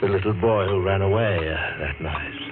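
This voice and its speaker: An actor playing an affable but sly older man in an old radio mystery, talking in halting, musing phrases. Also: low-pitched, over music, lightly acted. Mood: probing, genial. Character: affable, sly older male cousin hiding guilt. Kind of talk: 1940s radio mystery acting. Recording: noisy, muffled vintage radio recording.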